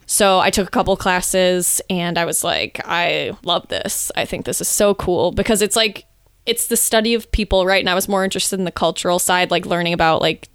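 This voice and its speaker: A young woman talks casually, leaning on "like" and laughing easily. Her voice is smooth and clear, recorded close and dry. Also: fairly low pitch, moderate pace, fairly bright tone, no echo. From Chicago suburbs. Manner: chatty, lots of "like" fillers, laughs easily. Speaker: guest. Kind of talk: casual chat.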